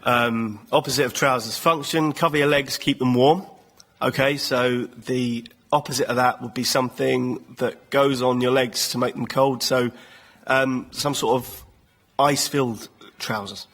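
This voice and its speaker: A man with a rough, husky voice speaks as a show guest, setting out a tongue-in-cheek theory. His pitch is middling for a man. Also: fairly even intonation, measured pace, a bit bright. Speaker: guest contestant.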